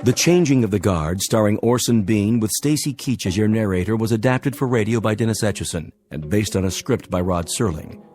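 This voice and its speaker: Male announcer, lower register, formal scripted credit read for radio drama, faint music underneath. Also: darkish tone.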